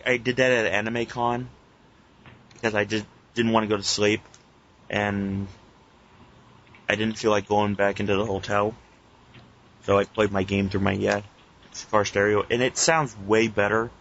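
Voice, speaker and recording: A man's voice: lowish voice, fairly bright tone, dull audio, slight background noise. A man co-hosting a podcast with casual, sweary banter. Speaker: podcast co-host.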